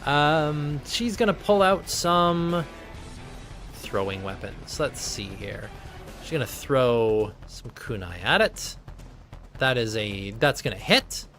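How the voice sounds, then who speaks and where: A man's voice: medium pitch, sing-song, takes it slowly, fairly bright tone, faint music behind. A man acting as game master in a live tabletop game, narrating turns in a chatty way and thinking rules through aloud. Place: home streaming setup.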